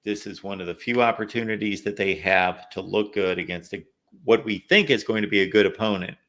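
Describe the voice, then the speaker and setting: A man hosting a live call-in sports show, a little raspy from no sleep, chatting through viewer comments and game analysis. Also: on the low side, a little fast, fairly bright tone. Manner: reads viewer comments aloud, conversational analysis. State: slightly raspy from lack of sleep. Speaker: host.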